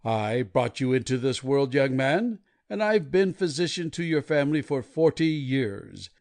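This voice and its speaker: A fed-up family physician of long service in a storybook, played by a male reader. He is severe and emphatic, his pitch swinging widely, in a very dry recording. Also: sing-song, measured pace, a little mellow, no room sound. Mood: angry.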